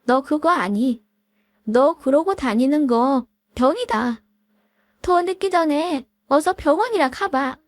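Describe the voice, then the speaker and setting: A woman playing a sharp, defiant wife. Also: sits high, lively intonation, takes it slowly, dry recording, studio quality, voice pushed up. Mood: accusing. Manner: sharp, accusing, cold retorts. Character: wife, middle-aged woman, long-hurt and now defiant.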